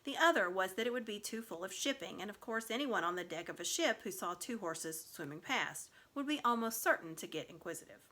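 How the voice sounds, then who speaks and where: A woman giving an expressive scripted narration of a children's story. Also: medium pitch, fairly quick, hardly any pauses. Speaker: storybook reader.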